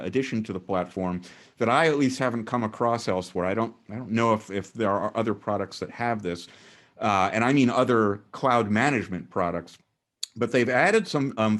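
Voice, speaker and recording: A man's voice: fairly low pitch, a bit bright. A man co-hosting a podcast over a video call, speaking hesitantly and self-deprecatingly, with many fillers. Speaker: podcast co-host. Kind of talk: casual chat.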